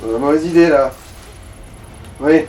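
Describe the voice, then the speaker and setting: A man's voice: a little high, a little slow, dark tone, slight background noise, rough recording. A man giving casual game commentary as a companion, in informal, slangy and mildly crude language. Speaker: let's play co-commentator.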